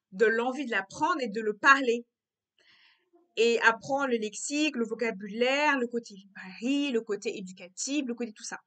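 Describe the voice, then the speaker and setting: A young woman talks through her thoughts in a relaxed vlog monologue. Her voice sits a little high and sounds bright and clear. She keeps restarting and repeating phrases as she thinks aloud. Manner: repetitive, circling back on her words. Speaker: vlogger. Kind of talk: casual reflective monologue.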